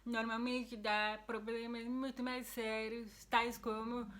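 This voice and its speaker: Young deaf Brazilian woman vlogger, higher, flat-toned delivery, earnest and explanatory. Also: slow pace. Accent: Brazilian Portuguese. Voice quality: deaf speech, heard by others as a foreign-sounding accent. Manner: earnest, explanatory, with frequent restarts. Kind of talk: casual personal explanation to camera.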